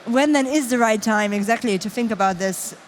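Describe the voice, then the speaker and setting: A woman moderating a conference panel, speaking in a measured way as she sums up answers and frames new questions. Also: a little high, a little hiss or hum. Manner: measured, summarizes and sets up questions. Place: conference stage.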